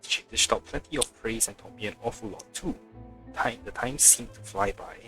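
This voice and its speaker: A man's voice: on the low side, moderate pace, bright, forceful tone, soft background music. A man doing casual game-stream commentary, reading along and tossing in wry, joking asides. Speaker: livestreamer.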